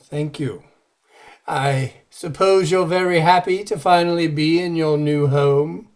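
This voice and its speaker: A man's voice: a little high, steady tone, unhurried, lightly acted. A man playing a formal, courteous village reverend in an old-time radio style drama. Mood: formal, reserved.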